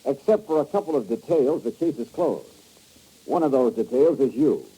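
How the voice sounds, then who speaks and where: An actor playing a tough, wry insurance investigator in a 1950s radio detective drama, blunt and sardonic in delivery. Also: male voice, medium pitch, expressive, moderate pace, dark tone, slightly rough, poor audio.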